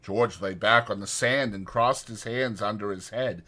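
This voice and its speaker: A man's voice: fairly low pitch, a little slow, pushed and bright. A man reading scripted dramatic narration as an audiobook narrator.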